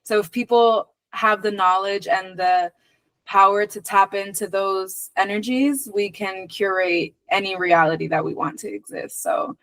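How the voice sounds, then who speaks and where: A woman from Venezuela who grew up in the United States, talking casually and reflectively as an interview guest, earnest and emphatic, pausing to choose her words. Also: medium pitch, a bit bright, dry recording. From born in Venezuela, moved to the United States very young. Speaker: podcast guest.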